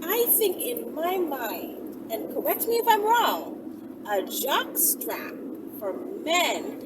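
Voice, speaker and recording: A woman with a high, swooping voice chats candidly to her viewers. Her delivery is conversational and wandering. She sounds some distance from the microphone. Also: takes it slowly. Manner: animated, rambling, conversational. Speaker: vlogger and channel host. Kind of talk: casual, candid monologue to viewers. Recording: distant mic, heavy vehicle-like background noise.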